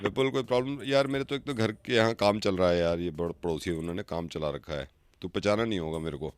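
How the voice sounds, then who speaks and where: A man's voice: sits low, fast, smooth, no room sound. A man in a casual phone chat, talking like a buddy, insistent and repeating his phrases to egg the listener on. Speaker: prank caller, radio show host.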